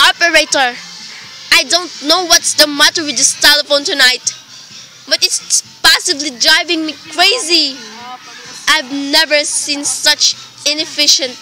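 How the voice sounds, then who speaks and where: A woman's voice: sits high, pushed and bright, faint music behind, full character voice, poor audio. A woman playing a nervous, demanding invalid wife in a radio play, with indignant, melodramatic delivery. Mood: exasperated, nervous. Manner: melodramatic, indignant, slow deliberate emphasis. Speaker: student actor in a radio play.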